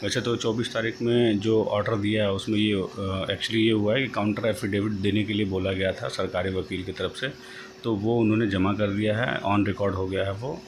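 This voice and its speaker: A man giving an informal explanatory update, halting and rephrasing as he goes, mixing in English terms. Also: lowish voice, fairly even intonation. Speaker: host of a job-news channel. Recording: noisy home-made voice-over, background noise.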